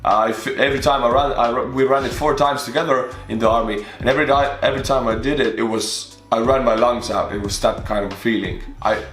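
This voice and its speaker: A man's voice: mid-range voice, fairly even intonation, fairly quick, hardly any pauses, fairly bright tone, faint music behind. Chatty Estonian man in an echoey room. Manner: chatty, restarts often, talks through personal stories. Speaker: YouTube reaction host. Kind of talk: casual reaction commentary.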